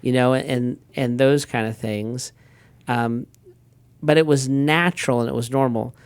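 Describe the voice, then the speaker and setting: A middle-aged man, a pastor, telling everyday stories in a relaxed chatty way with frequent restarts. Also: medium pitch, a little slow, clear, resonant tone, dry recording. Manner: relaxed storyteller, frequent restarts and quoted asides. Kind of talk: casual conversational talk.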